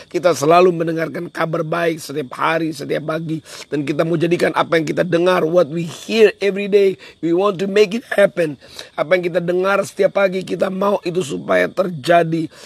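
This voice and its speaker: A man with a hoarse voice, preaching a devotional in an emphatic, repetitive way. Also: high voice, no echo. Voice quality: hoarse, husky. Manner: emphatic, repeats phrases for effect. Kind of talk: devotional sermon on a livestream, switching into English at times.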